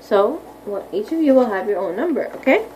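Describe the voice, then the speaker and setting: A woman with a somewhat high voice gives a read-aloud lesson for young children over some background noise. She explains things simply, like a primary teacher, and keeps checking in with questions. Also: sing-song, fairly quick, darkish tone, poor audio. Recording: clearly audible background noise.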